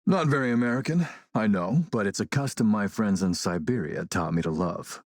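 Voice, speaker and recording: A man playing a smooth, menacing military warlord and arms dealer in a video game, suave, commanding and measured. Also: lowish voice, darkish tone, no room sound, studio quality, close to an everyday voice. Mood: measured, businesslike. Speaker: villain character in an action role-playing game. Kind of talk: acted video game dialogue.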